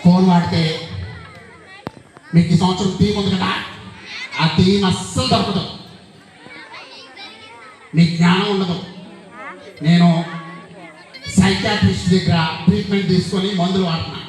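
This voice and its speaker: A woman's voice: mid-range voice, level tone, moderate pace, long pauses, a little mellow, lightly acted. Woman playing a preachy boy puppet, echoey hall. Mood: confessional, warning. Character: troubled young boy puppet, phone addict warning children. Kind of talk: children's puppet show acting. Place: church hall with children. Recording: echoey hall, distant mic, noisy crowd.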